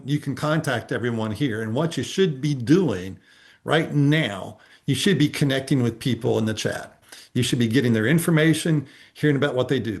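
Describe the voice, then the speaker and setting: A man hosting a casual networking video call, upbeat and persuasive with lots of fillers. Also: medium pitch.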